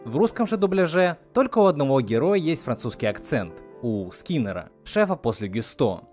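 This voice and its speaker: A man's voice: mid-range voice, very animated, moderate pace, a little mellow, soft background music. A man hosting a video series, reading a scripted video essay. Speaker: host of a video series on film dubbing.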